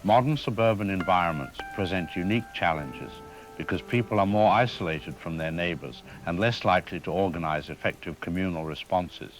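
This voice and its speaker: A man playing a grave academic historian in a radio drama, speaking in a formal, measured, lecturing way. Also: low-pitched, faint music behind, natural voice, low-quality recording. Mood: grave, warning. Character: grave male academic historian expert on vampire folklore. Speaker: expert interview guest.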